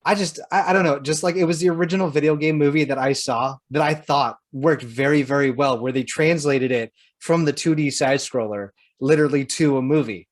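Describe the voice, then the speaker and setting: A man co-hosting a podcast, talking casually and informally with a halting, searching delivery. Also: on the high side, no echo, clean studio sound. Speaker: podcast host.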